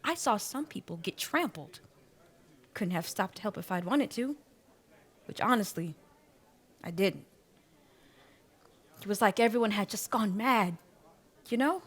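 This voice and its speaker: An actress playing a weary mother who survived a disaster, telling her story haltingly and reflectively in a radio drama interview. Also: medium pitch, animated, breathy edge. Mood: shaken. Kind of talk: documentary-style radio drama monologue.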